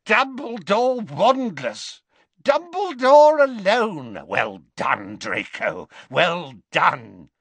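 A man voicing a stocky, jeering witch woman in a high, shrill put-on voice for a storybook reading. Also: huge pitch swings, very slow, a bit bright, no echo, heavily acted.

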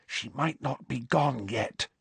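A man plays an earnest teenage schoolgirl from a storybook, anxious and determined. The voice is lifted high, slightly husky and very varied in pitch, with an articulate, pleading manner. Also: very animated, unhurried, a bit bright, no room sound, full character voice. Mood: hushed.

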